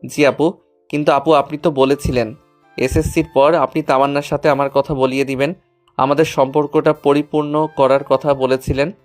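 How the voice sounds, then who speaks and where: A man's voice: mid-range voice, level tone, moderate pace, darkish tone, faint music behind, natural voice. A man playing an earnest, polite, apologetic teenage schoolboy who is eager to please, in an audio story. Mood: polite, hopeful. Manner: polite, contrite. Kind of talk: Bengali audio story acting.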